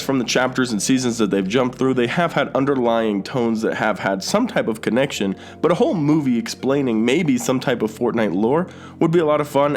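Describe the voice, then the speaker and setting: A man hosting a YouTube news commentary, speaking emphatically and directly to viewers. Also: medium pitch. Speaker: YouTube host. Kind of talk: scripted-sounding movie and gaming news commentary to camera. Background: background music.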